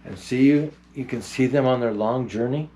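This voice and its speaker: A man playing a jolly, kindly old Santa Claus, reading a picture book to children in an unhurried, grandfatherly way with chatty asides. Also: medium pitch, warm tone, natural voice. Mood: gentle storytelling.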